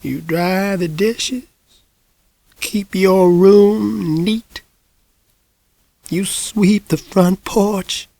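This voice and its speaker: A man voicing a frail, folksy woman of a hundred and six, with a quavering, breathy Southern drawl and a sly warmth. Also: high voice, steady tone, no echo, pitched above the performer's own voice, full character voice. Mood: teasing, probing. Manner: slow, drawling country speech.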